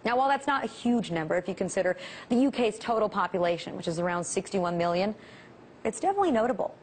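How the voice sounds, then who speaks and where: A woman presents a scripted news segment in a mid-range voice. She speaks as a show's presenter, with faint noise and a low rumble underneath. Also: expressive, quick pace, darkish tone.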